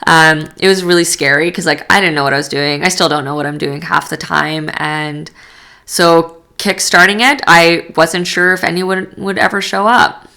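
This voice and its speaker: A woman's voice: low voice, fairly even intonation, moderate pace, pushed and bright. A young woman talking candidly as a podcast guest, with lots of fillers and laughs. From Canada. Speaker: guest, yoga studio founder. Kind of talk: casual interview chat.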